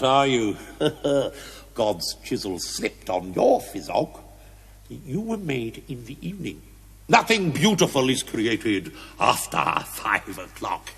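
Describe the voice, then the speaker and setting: An actor playing a drunken, irreverent British stonemason in a television play, declaiming grandly and rapturously. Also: male voice, mid-range voice, fairly bright tone, full character voice. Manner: declamatory, wildly swooping, grandiloquent. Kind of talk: theatrical television play acting. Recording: echoey hall, long reverb.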